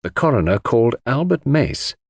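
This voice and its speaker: A man's voice: mid-range voice, sing-song, normal speed, creaky, darkish tone, no room sound, studio quality. A man reading scripted narration of a classic mystery as an audiobook narrator.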